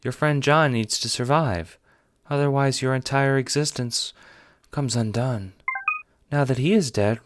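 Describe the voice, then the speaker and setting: A man voicing a blunt female alien troll time traveler in an audio drama, speaking formally and with measured care. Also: mid-range voice, background noise, natural voice. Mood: blunt, solemn. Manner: formal, measured, few contractions.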